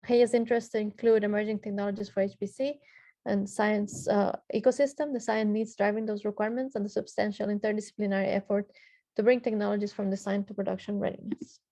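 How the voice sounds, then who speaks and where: A woman's voice: medium pitch, flat delivery, fast, warm tone. Hesitant woman moderator, from Ecuador. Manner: hesitant, many filler sounds and restarts. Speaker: panel moderator. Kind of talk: reading panelist introductions.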